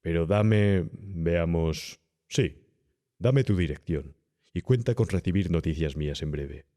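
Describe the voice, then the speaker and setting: A man's voice: sits low, slow pace, dark tone. A man playing an eminent older doctor in a horror audiobook, pompous and falsely courteous, with a Castilian Spanish accent. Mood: falsely cordial. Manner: pompous, condescending, evasive politeness. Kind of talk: dramatised audiobook horror tale.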